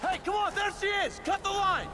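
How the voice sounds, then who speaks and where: A man's voice: extremely high pitch, normal speed. A man playing a menacing cultist henchman in a video game, barking threats and orders. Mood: urgent. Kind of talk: dramatic video game acting. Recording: loud music behind game dialogue.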